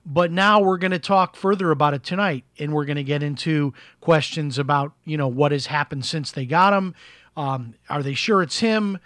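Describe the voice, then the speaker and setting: A man hosting a live talk show, chatting casually with fillers, his voice slightly raspy from a recent illness. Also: a little high, moderate pace, a bit bright, dry recording, studio quality. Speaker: host. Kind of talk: live talk radio monologue.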